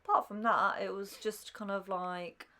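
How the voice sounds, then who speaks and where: A woman's voice: mid-range voice, steady tone, a little slow, crowd noise. A young woman in a casual reaction chat, musing informally with hesitant phrasing as a co-host. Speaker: co-host reacting as a newcomer to a TV show.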